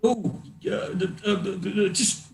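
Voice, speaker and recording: A man acts out a flustered city guardsman in a fantasy tabletop game. He stammers and gropes for words in a raised, creaky voice, grudging and unsure of himself. The sound is roomy. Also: sits high, a little slow, darkish tone, slight background noise, pitched above the performer's own voice. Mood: hesitant, unsure. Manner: hesitant, stammering, repeating words.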